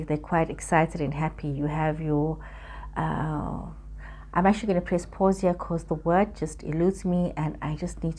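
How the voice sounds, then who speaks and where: Casting note: female tarot reader, low register, soft dark tone, speaks directly to the listener in halting, repetitive phrases. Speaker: tarot reader hosting her own channel. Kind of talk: semi-improvised spiritual reading addressed to the viewer.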